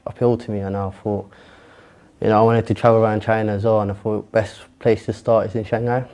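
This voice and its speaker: A man in his twenties from London, an English teacher, talking in a chatty, animated way during an interview. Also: on the low side, quick pace. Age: 20s. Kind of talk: casual interview answers.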